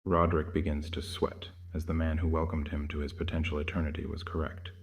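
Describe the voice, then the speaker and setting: A man narrates a scripted horror audio tale. His voice is very low and stays level and flat, carrying the story in a steady line. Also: a little fast, a little hiss or hum.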